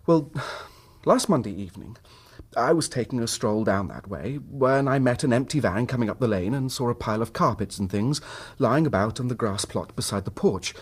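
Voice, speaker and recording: A man's voice: medium pitch, expressive, moderate pace, slightly rough. An actor playing an earnest, troubled middle-class English husband telling his story in formal period language for a radio drama. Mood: earnest, reflective.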